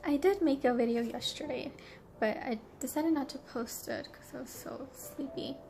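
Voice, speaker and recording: High-voiced congested young woman vlogging. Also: normal speed, creaky, background noise. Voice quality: stuffy nasal voice. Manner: rambling, giggly storytelling with many fillers. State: congested from allergies. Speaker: travel vlogger. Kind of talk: casual travel vlog chat. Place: hotel room.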